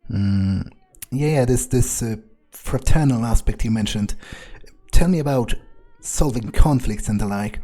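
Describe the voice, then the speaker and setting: A man's voice: mid-range voice, unhurried, dark tone. A man improvising in-character commentary for a game playthrough, with a hesitant, musing and sardonic way of talking. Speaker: gamer role-playing his character.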